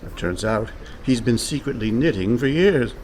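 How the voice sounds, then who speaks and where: A man's voice: mid-range voice, expressive, moderate pace, a little mellow, a little hiss or hum, close to an everyday voice. An actor playing a cheerful, scheming and overconfident con man in a comic radio play, breezy and persuasive. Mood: confident.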